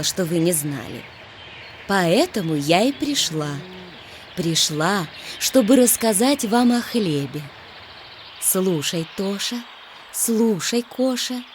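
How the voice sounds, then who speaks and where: A woman playing a stately, instructive Bread Queen spirit in a children's musical cartoon, measured in manner. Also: medium pitch, sing-song, slight background noise. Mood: solemn, instructive. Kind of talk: children's musical cartoon storytelling, partly sung. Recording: loud music behind the voice.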